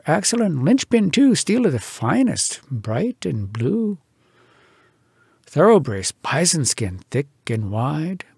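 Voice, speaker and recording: A man performs humorous verse like a folksy storyteller. His voice is fairly high for a man and husky, with gravelly creak at the edges. He draws out words and leans theatrically into sudden emphasis. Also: no echo, clean studio sound. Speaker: storyteller, audiobook narrator. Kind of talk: animated dramatic reading of humorous verse.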